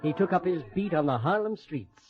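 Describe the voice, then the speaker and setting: A man's voice: a little high, animated, a little fast, natural voice. A man narrating a radio drama as a solemn, lyrical storyteller, with poetic scripted delivery. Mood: lyrical, stirring. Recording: muffled old radio recording, music behind.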